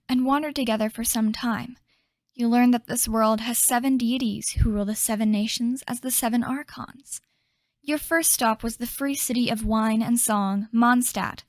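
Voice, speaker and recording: A woman acting as a solemn fantasy game storyteller with a somewhat high voice. She delivers scripted narration in a measured manner, recorded very dry and close. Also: fairly even intonation, no room sound, lightly acted.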